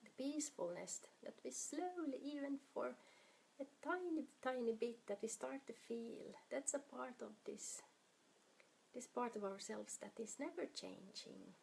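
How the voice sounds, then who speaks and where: A woman leading a guided meditation in a soft, soothing, unhurried manner, her breathy voice often trailing off toward a whisper. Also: a little high, lively intonation, a little hiss or hum.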